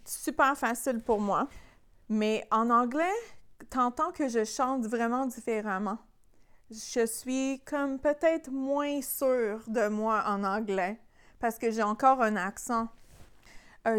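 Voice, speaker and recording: Clear-voiced Québécois woman chatting casually. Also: a little high. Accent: Québécois French. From Quebec, Canada. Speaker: singer-songwriter, interview guest. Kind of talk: casual conversational interview.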